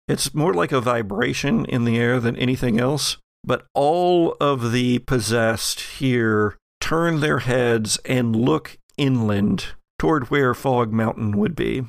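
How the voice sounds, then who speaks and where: A man with a gravelly, creaky voice runs a tabletop horror game as game master. He narrates slowly and deliberately, with dramatic pauses, in a dry, close recording. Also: mid-range voice, expressive, no room sound.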